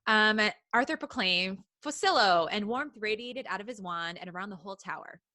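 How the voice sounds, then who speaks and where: A woman with a bright voice and a close, dry sound reads a story aloud like a podcast co-host among friends. She is playful and giggly, happy to wander off into casual banter. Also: mid-range voice, lively intonation, moderate pace, no room sound. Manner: playful, giggly, digresses from the reading. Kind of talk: casual read-aloud of fan fiction with banter.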